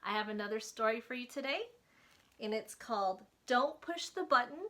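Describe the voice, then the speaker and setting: A woman's voice: on the high side, lively intonation, normal speed, pushed and bright. A woman librarian reading a picture book aloud to young children, speaking to them directly with questions and invitations to join in.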